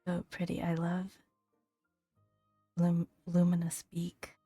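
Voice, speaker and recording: A woman speaks in a soft, breathy ASMR voice that often slips toward a whisper. She takes her time, pausing gently between phrases as she reads and adds small asides. The sound is close and very dry, with faint music underneath. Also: fairly low pitch, completely flat delivery, no echo. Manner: gentle, unhurried, with long pauses between phrases. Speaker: gaming video host. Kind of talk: soft-spoken ASMR, reading game entries aloud with casual asides.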